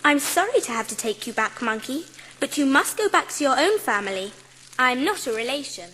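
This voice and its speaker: Casting: gentle British schoolgirl of seven, light high voice, animated, polite and earnest, for dramatised storybook. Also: fairly quick, in a large hall, a little hiss or hum, full character voice, poor audio. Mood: tender, delighted. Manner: well-spoken, sweet, earnest. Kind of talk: dramatised audiobook acting.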